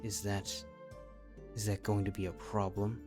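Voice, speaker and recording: Man playing a deep-voiced, hesitant dragon slayer. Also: flat delivery, measured pace, music in the background, lightly acted. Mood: guarded. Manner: hesitant, tentative. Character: shivering, wary male dragon slayer from another world. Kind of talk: fan fiction audio drama acting.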